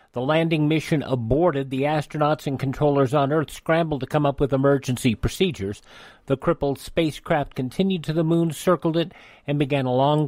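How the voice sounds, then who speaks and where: Higher-pitched male radio host, dry studio sound. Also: darkish tone, dry recording. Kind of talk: radio monologue reading dates from history.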